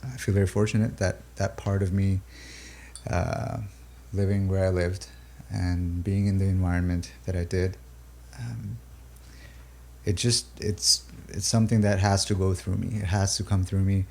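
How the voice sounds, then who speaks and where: Man, low flat voice, gravelly, reflective musician, halting with fillers, native urdu speaker. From Pakistan and the UAE. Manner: thoughtful, halting, many fillers and restarts. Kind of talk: reflective interview talk.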